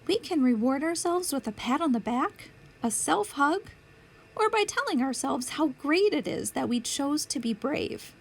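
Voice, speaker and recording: A woman reading a picture book aloud as a storytime host, with deliberate, clear phrasing for young children. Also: sits high, expressive. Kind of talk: children's read-aloud narration.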